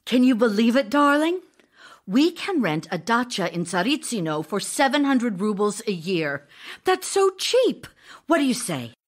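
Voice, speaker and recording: A woman playing the devoted, wistful wife of an ailing writer in an audio drama, speaking in a tender, measured, earnest way. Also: a little high, very animated. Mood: hopeful, coaxing. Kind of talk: audio drama acting, narrated memoir and letters. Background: music with plucked guitar.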